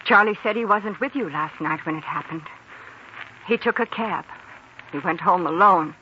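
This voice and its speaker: A woman's voice: lowish voice, normal speed, natural voice. An actress playing a troubled, doubting young woman in a 1940s radio melodrama, hesitant and earnest. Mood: doubting, pained. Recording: muffled, hissy old radio recording.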